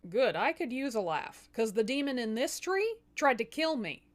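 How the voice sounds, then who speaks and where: Woman acting a sassy, shrewd young wife, lively. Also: on the high side, normal speed, voice pushed up. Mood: probing. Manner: sassy, direct, modern slangy delivery. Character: shrewd, bold young human wife, negotiating firmly.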